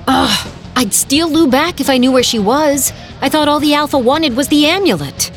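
An actress playing a brash, wry, defiant young heroine in an audio drama, narrating and speaking in character. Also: female voice, sits high, normal speed, natural voice. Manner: wry, dramatic first-person telling. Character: brash half-fae heroine, defiant, wry, gun in hand. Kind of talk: full-cast audio drama narration and dialogue. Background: music.

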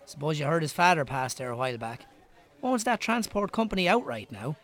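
A man's voice: a little high, lively intonation, a little fast, a bit bright. An actor in a radio drama playing a joking, bantering Irish barman in a pub. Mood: gossipy. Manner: bantering, joshing. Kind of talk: naturalistic radio drama acting.

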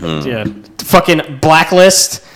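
A man hosting a casual podcast chat, swearing freely and telling animated stories while fighting off a cold. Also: fairly high pitch, drawn-out words, soft background music. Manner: swears freely, laughs often, animated storytelling. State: lingering cold.

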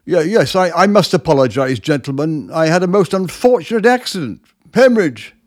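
A man's voice: on the high side, very animated, moderate pace, no echo, clean studio sound. A man playing an injured, evasive doctor in a radio drama, speaking formally and hesitantly. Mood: weak, apologetic. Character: injured male doctor, apologetic and evasive, insisting calmly. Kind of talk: dramatic radio play acting.

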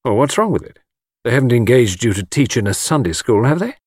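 Offhand, brisk gentleman tour guide, voiced by a male reader, highly animated pitch, close dry sound, storybook hero. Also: slightly rough, no echo. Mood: wry. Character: breezy, flippant gentleman adventurer working as tour guide. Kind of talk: audiobook character voice.

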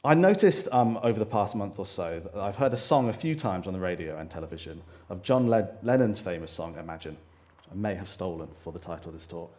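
A man with a loud voice preaching a sermon to a congregation, talking earnestly with frequent pauses and restarts. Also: deep voice, animated. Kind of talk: church sermon. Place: church hall, live congregation. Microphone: handheld or stand microphone.

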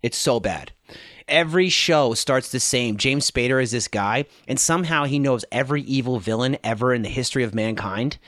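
A man's voice: medium pitch, moderate pace, fairly bright tone, dry recording, studio quality. A man hosting a podcast interview, talking in a blunt, joking, incredulous way with plenty of swearing. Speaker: podcast host. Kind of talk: casual profane interview chat.

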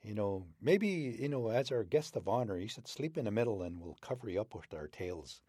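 A man's voice: lively intonation, brisk, warm tone, husky, dry recording, studio quality. A man voicing a friendly, sly old male wolf leader in a spoken folk tale, coaxing and persuasive. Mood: instructing. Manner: coaxing, raised pitch.

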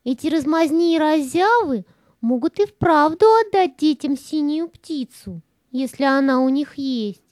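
A scheming she-cat from a fairy-tale audio play, acted by a woman. The voice is high yet mellow, recorded close and dry. It is contemptuous and coolly calculating. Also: animated, a little slow, no room sound. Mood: scornful, scheming. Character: scheming, scornful female cat, sly predator.

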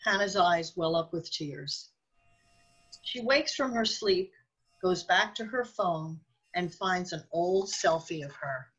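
A woman's voice: on the low side, expressive, slow, a bit bright, slightly rough, dull audio. A woman reading scripted narration for a radio drama as its storyteller.

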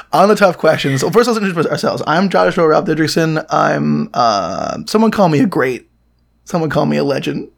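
A young man co-hosting a podcast, slightly hoarse from a cold, joking and teasing in casual banter. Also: fairly high pitch, animated, normal speed. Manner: playful, teasing, goofy. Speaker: podcast co-host.

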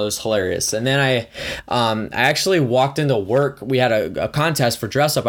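A young man hosting a casual solo podcast, hoarse and raspy from screaming at a concert, rambling excitedly with lots of fillers. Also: medium pitch. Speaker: podcast host.

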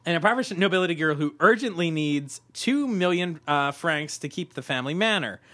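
A man's voice: a little high, animated, brisk, bright, forceful tone, no room sound. A man hosting a podcast, chatting casually, reading a description aloud with laughing asides. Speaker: podcast host. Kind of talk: casual chat.

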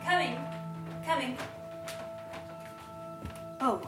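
An actress playing a flustered, guarded housewife in an audio drama, polite but hesitant and stammering. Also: female voice, high-pitched, animated, drawn-out words, loud music behind the voice, exaggerated voice. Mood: nervous, guarded. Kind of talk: naturalistic audio drama dialogue.

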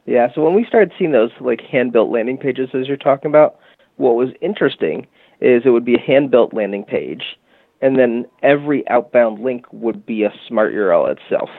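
A man's voice: mid-range voice, animated, moderate pace, dry recording. A man interviewed as a guest, explaining his company's product in casual talk, full of "you know" and "um". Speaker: guest from a music marketing company. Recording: tinny phone-line call.

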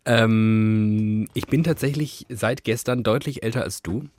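A man's voice: on the low side, a little slow, a little mellow, a little hiss or hum. A thirty-year-old man co-hosting a podcast in casual, rambling, self-ironic banter. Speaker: podcast co-host.

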